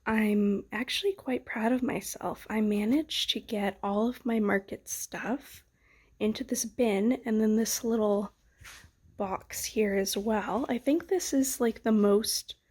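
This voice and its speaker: A young woman vlogging at home, chatty and soft-spoken, sounding sick, sniffly and nasal. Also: medium pitch, slow, slightly rough. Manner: soft-spoken, chatty, often trailing into whispers. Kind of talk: casual chat to camera. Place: home studio.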